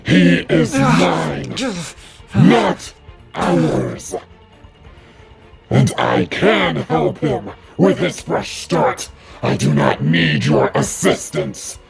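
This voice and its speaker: Audio drama lead: actress as a fierce, menacing demigod, heavy vocal fry, grandiose formal delivery, loud music behind. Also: female voice, extremely low pitch, sing-song, very slow, exaggerated voice. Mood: commanding, furious. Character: fierce, protective, vengeful demigod wolf heroine.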